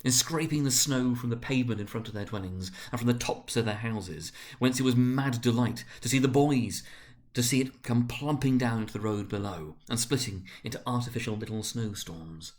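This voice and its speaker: Male narrator, mid-pitched voice, dramatic storybook reading, expressive, deliberate delivery. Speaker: narrator, reader.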